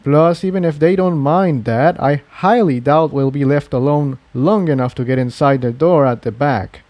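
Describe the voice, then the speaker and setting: Man as a gentle, confident male pony adventurer. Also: fairly high pitch, normal speed, no echo, lightly acted. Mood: wary, planning.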